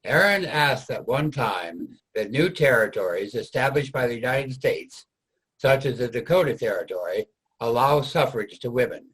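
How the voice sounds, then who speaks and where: A man's voice: mid-range voice, expressive, normal speed, fairly bright tone, husky, low-quality recording. A man narrating a scripted historical reader's theater piece.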